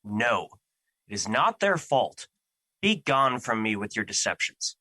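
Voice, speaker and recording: A man's voice: fairly low pitch, big pitch swings, measured pace, sharp tone, no room sound, full character voice. A man playing Fear as a personified emotion in an audio drama, troubled, defensive and duty-bound, with earnest, formal phrasing. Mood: stern.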